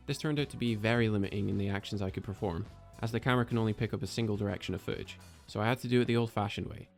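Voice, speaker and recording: Guy doing calm voiceover on his own animation project, explains each step, bit self-deprecating. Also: on the low side, rapid-fire, vocal fry, soft background music. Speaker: video creator and 3D animator. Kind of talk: scripted voice-over narration.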